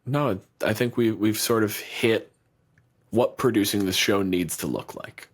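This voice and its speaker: Podcast co-host, male, lowish voice, profane and ribbing, loose rambling delivery. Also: a little slow. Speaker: podcast co-host and game master. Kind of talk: casual banter. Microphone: remote recording over video call.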